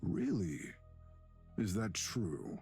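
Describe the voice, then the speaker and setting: Slow, sinister male demon. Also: fairly low pitch, wildly swooping pitch, creaky, mellow, husky, heavily acted. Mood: bitter, taunting. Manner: theatrical, taunting, drawn-out delivery. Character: sinister centuries-old demon possessing a young man, bitter and mocking. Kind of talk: visual novel voice acting. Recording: music behind the voice.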